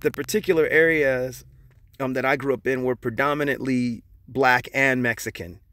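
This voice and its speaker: A man's voice: medium pitch, fairly even intonation, normal speed, a bit bright. A middle-aged man from Long Beach, talking casually to his viewers with lots of fillers and restarts. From Long Beach, California. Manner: conversational, many fillers and restarts, loose and storytelling. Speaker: channel host.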